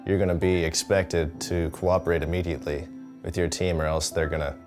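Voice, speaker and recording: Very deep-voiced young man. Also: flat delivery, a little fast. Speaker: animation student. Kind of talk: documentary interview testimonial. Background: music.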